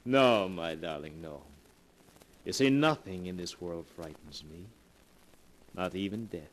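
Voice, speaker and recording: An actor in a 1940s radio drama playing the devil, a suave mocking man who purrs his lines slowly and chuckles as he gloats. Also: slight background noise, poor audio. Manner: slow, purring, gloating, with low chuckles.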